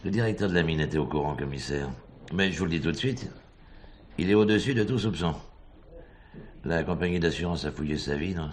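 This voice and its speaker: An actor plays a courteous diamond broker in a 1970s drama. His male voice sits low and self-assured, with faint hiss behind it. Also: brisk, close to an everyday voice. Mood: composed. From Transvaal, South Africa. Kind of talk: 1970s French theatrical radio-style drama acting.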